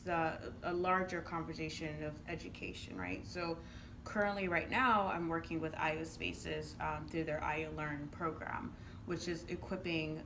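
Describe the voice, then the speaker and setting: A woman from Uganda giving conversational interview answers in an earnest, explanatory manner, often checking in with "right?". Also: on the low side. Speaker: interviewed entrepreneur and youth programme coach. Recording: clearly noisy room ambience.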